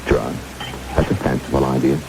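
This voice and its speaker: A man playing a smooth, courteous kidnapper in a vintage radio drama, unhurried and politely menacing, on an old broadcast. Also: very deep voice, over music, poor audio. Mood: smooth, controlled. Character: smooth, courteous kidnapper who sells state secrets. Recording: hissy old radio broadcast under rain noise.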